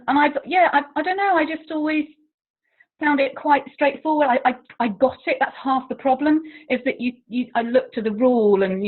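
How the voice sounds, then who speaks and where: An English woman, a languages teacher, chatting as an interview guest, talkative and self-deprecating with frequent laughs. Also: mid-range voice. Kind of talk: casual interview chat. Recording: tinny phone-like line.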